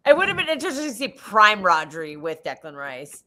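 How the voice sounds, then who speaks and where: Chatty American woman with lively pitch. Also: fairly quick. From United States. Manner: chatty, frequent restarts and swearing. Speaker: co-host of a live football watch-along stream. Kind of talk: casual chat.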